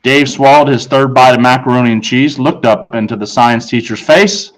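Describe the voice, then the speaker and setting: A man reads a children's book aloud in an average-pitched voice. He is a teacher and tells the story expressively. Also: normal speed, low-quality recording.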